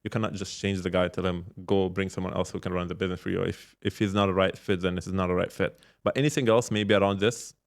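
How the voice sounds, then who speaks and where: A man's voice: deep voice, little pitch movement, fast talker, creaky, few pauses. A man speaking casually and reflectively as an interview guest, repeating words and explaining with examples and check-questions. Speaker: podcast guest, startup founder and mentor.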